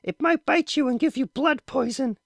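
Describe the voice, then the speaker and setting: A man's voice: moderate pace, gravelly, no room sound, clean studio sound. A man reading aloud voices a worried, protective mother in a raised, anxious voice. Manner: high, anxious and scolding.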